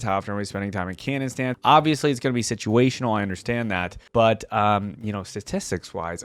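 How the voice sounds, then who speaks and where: A man's voice: mid-range voice, no room sound. A man giving casual gaming reaction commentary as a video host, thinking aloud in a conversational way.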